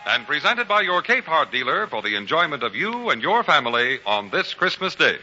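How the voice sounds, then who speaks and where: A man's voice: on the high side, sing-song, brisk, lo-fi sound, loud music behind the voice. A man with an American accent making formal, emphatic announcements and scripted ad reads in 1940s radio style. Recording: band-limited 1940s radio recording.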